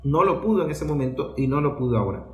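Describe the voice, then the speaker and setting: A man's voice: on the high side, animated, quick pace. A man with a Venezuelan accent giving political commentary as a speech, posing rhetorical questions and answering them. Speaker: journalist giving a political talk. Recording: echoey room.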